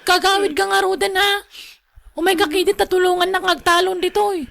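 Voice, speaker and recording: A woman's voice: extremely high pitch, steady tone, fairly quick, vocal fry, crowd noise, full character voice. A woman acting a worried, long-suffering wife in a radio drama, with an Ilocano accent. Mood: anxious. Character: worried, long-suffering wife pleading with her straying husband.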